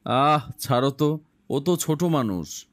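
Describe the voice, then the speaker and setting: A man's voice: a little high, expressive, measured pace, no echo, clean studio sound. A man playing a kindly village farmer and devout father in a cartoon, with a mild, affectionate manner. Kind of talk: acted cartoon dialogue.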